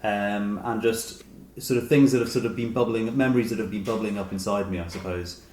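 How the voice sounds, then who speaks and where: A man's voice: fairly low pitch, fairly even intonation, brisk, darkish tone. A man in his late forties giving a reflective, hesitant interview, thinking aloud as he goes. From Dublin, Ireland. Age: late 40s. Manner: hesitant, thoughtful, hedging with "sort of". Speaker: interview guest, short story writer. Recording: roomy echo, noticeable background hiss.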